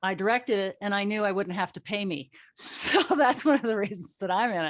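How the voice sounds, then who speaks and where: A woman's voice: mid-range voice, brisk. Woman in her sixties hosting casually. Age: 60s. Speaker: host, audio drama writer and director. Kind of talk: casual panel interview.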